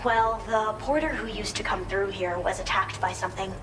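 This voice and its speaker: A woman's voice: medium pitch, moderate pace, noisy background, natural voice. A woman playing a friendly, eager researcher character in a video game, chatty and enthusiastic as she explains things. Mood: friendly, concerned. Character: eager, friendly female researcher living in a shelter. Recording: music behind the voice.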